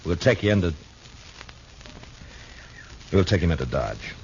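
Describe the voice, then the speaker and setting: Low-voiced American Old West lawman, dry and steady, muffled vintage radio drama. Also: measured pace, vocal fry, exaggerated voice. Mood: dry, measured. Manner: terse, dry, understated. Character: steady, laconic male frontier marshal of the Old West. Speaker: radio drama lead and narrator. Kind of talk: 1950s radio drama acting and narration.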